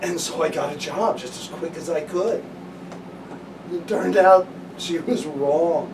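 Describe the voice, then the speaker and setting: A man's voice: fairly high pitch, unhurried. A middle-aged man telling a story in a plain, matter-of-fact way. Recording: echoey room, far mic, noise.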